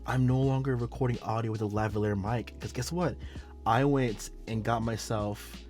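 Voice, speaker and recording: A man's voice: medium pitch, fairly even intonation, moderate pace, a little mellow, soft background music. A man with a bit of a nasal, sick-sounding voice chatting casually to his viewers in a vlog intro, upbeat and full of filler words, speaking into a small wireless mic. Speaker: photography vlogger. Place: indoors at home. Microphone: small wireless mic clipped to his hat.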